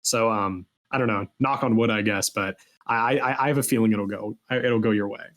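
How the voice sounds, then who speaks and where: A man's voice: on the low side, steady tone, moderate pace, a bit bright. A man hosting a podcast in casual conversation, with a supportive way of talking. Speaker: podcast host, interviewer. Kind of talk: casual interview chat.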